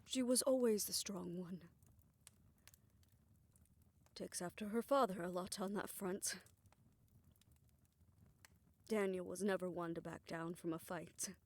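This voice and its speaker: Casting: actress for a tired, loving older woman, lowish voice, soft intimate delivery, thoughtful pacing, for romantic audio roleplay. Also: normal speed. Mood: worried, melancholy. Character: weary, affectionate older woman confiding in her partner.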